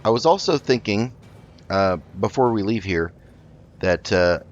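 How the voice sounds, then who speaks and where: Deep-voiced man casually roleplaying through a game. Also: animated, a little slow. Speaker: game roleplay video host. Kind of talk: casual in-character gameplay commentary. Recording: dull lo-fi mic with background noise.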